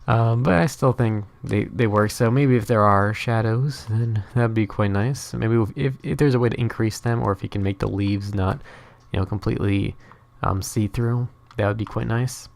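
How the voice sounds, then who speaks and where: A man's voice: fairly low pitch, fairly even intonation, moderate pace, gravelly, a little mellow, dry recording. A young man whose first language is Norwegian, giving casual, rambling gameplay commentary full of fillers and restarts. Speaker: gaming channel host.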